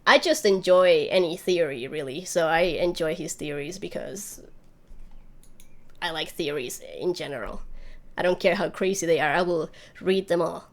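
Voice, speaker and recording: A woman's voice: lowish voice, normal speed. A woman hosting a livestream, chatting casually and rambling as she thinks aloud while answering viewer questions. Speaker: YouTube channel host.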